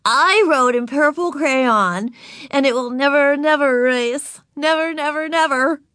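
A woman voicing a stubborn, bratty little girl in a storybook, with defiant, drawn-out, emphatic delivery. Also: high voice, lively intonation, bright, forceful tone, no room sound, clean studio sound, voice pushed up, exaggerated voice. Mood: proudly unashamed.

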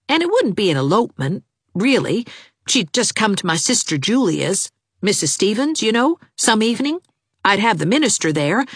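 A woman's voice: medium pitch, fairly bright tone, husky, no room sound, clean studio sound, pitched above the performer's own voice. A woman voicing a lovesick, gloomy young man. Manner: earnest, fretful, fast-talking. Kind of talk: audiobook character voice.

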